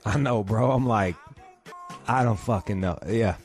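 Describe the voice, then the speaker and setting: A man's voice: fairly low pitch, unhurried, over music. A man hosting a podcast from home, rambling through a casual, profane rant about his day. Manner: rambling, swears constantly, ranting. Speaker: podcast host.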